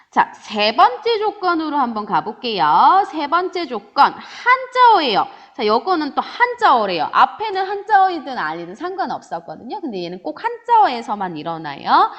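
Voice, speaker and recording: Female grammar teacher, high and animated, drawls example words slowly for students. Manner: lively teacher voice, answers imagined student questions, drawn-out example words. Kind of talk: online grammar lecture.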